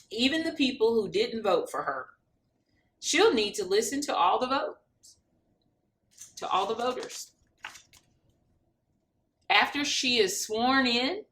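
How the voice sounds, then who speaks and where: Lively, bright-voiced woman reading to children. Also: mid-range voice. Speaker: reader of a children's picture book. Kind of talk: expressive read-aloud for children.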